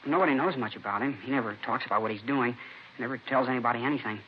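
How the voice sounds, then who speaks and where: A man's voice: mid-range voice, rapid-fire, close to an everyday voice. An actor playing a cooperative, slangy seventeen-year-old boy being questioned, in casual 1950s radio drama style. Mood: casual, candid. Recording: muffled vintage radio recording, faint noise.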